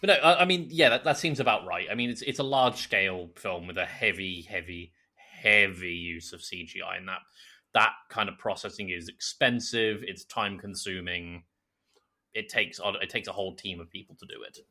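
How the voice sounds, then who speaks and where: A man's voice: sits low, moderate pace, bright, forceful tone. A man co-hosting a podcast, chatting casually and explaining things from his own experience. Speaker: podcast co-host. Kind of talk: casual chat.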